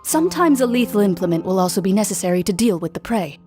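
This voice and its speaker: A woman voicing a composed, serious duelist in a game, calm and precise. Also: medium pitch, fast talker, faint music behind, natural voice. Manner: calm, precise, matter-of-fact.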